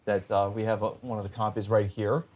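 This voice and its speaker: Low-pitched man hosting a talk show. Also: flat delivery, fairly quick, darkish tone.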